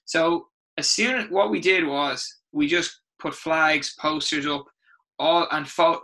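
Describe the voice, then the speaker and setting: Irish male coach, slightly high, ringing tone; expressive storyteller who restarts his sentences. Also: rough recording. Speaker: rugby coach. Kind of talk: interview storytelling.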